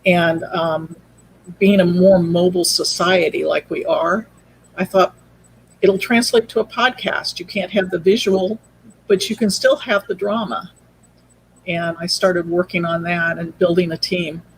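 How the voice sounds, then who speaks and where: A woman with a gravelly, creaky voice tells her story as a podcast guest. Her delivery is casual and conversational, and there is faint noise behind her. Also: on the low side, steady tone, unhurried, poor audio. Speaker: podcast guest, audio drama producer.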